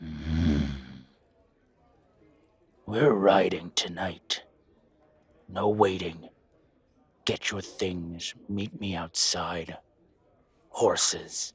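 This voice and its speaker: A man voicing a gruff, ill-tempered werewolf pack alpha who talks in terse, clipped growls for an audio roleplay. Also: sits low, fairly even intonation, drawn-out words, long pauses, no echo, pitched below the performer's own voice, full character voice. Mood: commanding.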